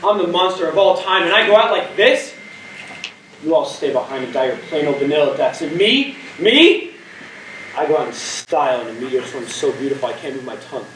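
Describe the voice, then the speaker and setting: A man's voice: fairly high pitch, animated, a little fast, fairly bright tone. A young man on stage playing a doomed, half-mad spaceman who declaims in a grand, exultant way. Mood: exultant, manic. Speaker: student stage actor. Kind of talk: theatrical stage monologue. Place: school theatre stage. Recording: echoey stage, distant mic, faint noise.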